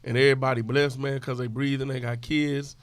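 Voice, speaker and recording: A man speaks quickly and earnestly, leaning on street slang as he makes his points. His voice is dry and close, with no room sound around it. The delivery stays conversational and emphatic. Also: medium pitch, flat delivery, no room sound. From Houston, Texas. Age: 40s. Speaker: podcast guest, club owner. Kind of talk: casual conversation.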